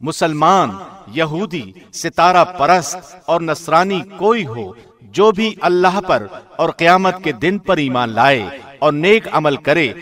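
A man reading a scripted scripture translation in a measured, formal radio-presenter manner. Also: a little high, lively intonation, a bit bright. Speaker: translation reader, radio host.

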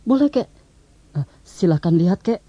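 A man's voice: high-pitched, animated, moderate pace, husky, no echo, lo-fi sound. A man playing a humble, eager village boy in an audio fairy tale, polite and a little hesitant. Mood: polite, earnest.

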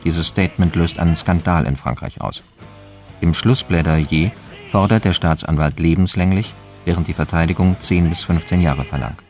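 A man reading scripted documentary-style narration for a radio drama in a factual, measured manner. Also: low-pitched, faint music behind.

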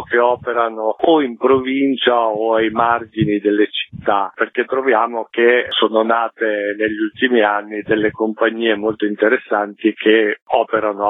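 A man answering radio interview questions as a festival director, explaining things in a measured way. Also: lowish voice, no echo, phone-quality sound.

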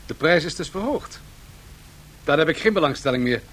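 Shrewd tycoon, lively high-pitched voice. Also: male voice, moderate pace. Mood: cool. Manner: businesslike, calculated bargaining. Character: shrewd, unyielding Swedish match tycoon negotiating huge deals. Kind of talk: 1970s Dutch radio drama acting. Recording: noisy 1970s radio drama recording.

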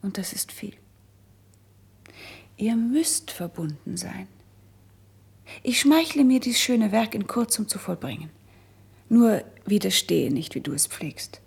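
A very husky, breathy female voice with a creaky edge plays a composed noble princess. She delivers elevated verse deliberately, with gentle wistfulness and soft admonishment, in classic radio-play style. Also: mid-range voice, exaggerated voice. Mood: earnest persuasion.

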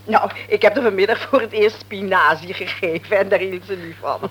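A woman's voice: medium pitch, brisk, fairly bright tone, a little hiss or hum, close to an everyday voice. A woman playing a doting older grandmother in a radio play, affectionate and fussing.

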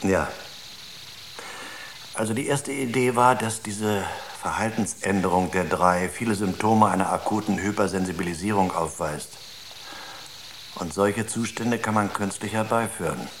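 An earnest space-crew psychologist in a radio drama. His voice sits low, and he explains himself in a searching, natural way. Background noise is clearly audible. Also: unhurried. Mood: earnest, explaining. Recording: noisy radio drama recording.

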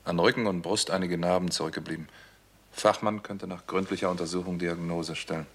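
A hard-boiled doctor investigating a case in a vintage radio thriller. His voice is deep, and he speaks in clipped, matter-of-fact sentences. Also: fairly even intonation, a little fast, a bit bright, slightly rough, heavily acted. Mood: absorbed, clinical. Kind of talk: 1960s German radio crime drama narration and dialogue.

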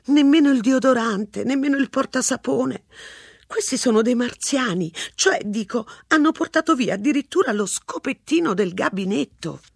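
A reader voicing a dazed, absent-minded wife, husky and lively. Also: mid-range voice, moderate pace, dry recording, clean studio sound, pitched above the performer's own voice. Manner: absent-minded, listing things.

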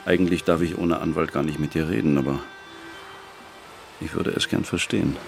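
Deep-voiced, grave police detective. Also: male voice, fairly even intonation, brisk, creaky, music in the background. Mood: grave, gentle. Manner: terse, clipped phrases. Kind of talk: radio drama acting.

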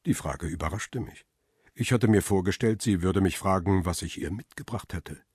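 A world-weary photographer telling his crime story, really low husky voice, measured, radio drama. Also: a little mellow, no room sound, studio quality. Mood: reflective, measured. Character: world-weary middle-aged male press photographer narrating his story. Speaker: first-person narrator. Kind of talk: literary crime radio play narration.

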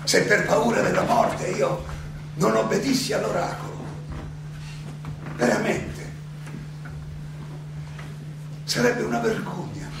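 An actor playing a defiant philosopher on trial in a theatrical monologue, declamatory and halting, with rhetorical questions, in an echoey, noisy recording. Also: male voice, high voice, fairly even intonation. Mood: earnest, indignant. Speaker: stage actor and director. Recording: echoey hall, distant mic, clearly noisy.

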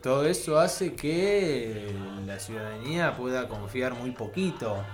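A man's voice: mid-range voice, lively intonation, measured pace. Argentine man hosting over music. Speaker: radio host. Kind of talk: conversational community radio talk. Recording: music playing behind the voice.

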